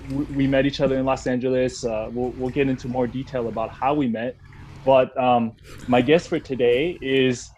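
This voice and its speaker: A man's voice: medium pitch, a little mellow, slight background noise, poor audio. A man hosting his podcast, welcoming a friend in a friendly, easygoing way with lots of hesitations. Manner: friendly, many fillers and restarts. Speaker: podcast host. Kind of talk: casual chat.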